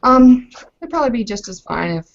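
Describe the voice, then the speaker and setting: A woman's voice: on the high side, lively intonation, a little fast, pitched above the performer's own voice. A woman playing an amiable young bride in a tabletop game, speaking in a hesitant, tactful, rambling way on a slightly dull recording. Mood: tentative, friendly. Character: amiable young Bavarian bride, werewolf kinfolk newly arrived.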